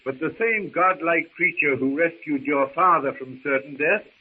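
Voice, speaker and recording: An actor playing a prim, wordy old academic in a 1930s radio serial, with pompous, formal diction and an easily offended temper. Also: male voice, a little high, normal speed, breathy edge. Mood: halting, earnest. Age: older. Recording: noisy, tinny old radio recording.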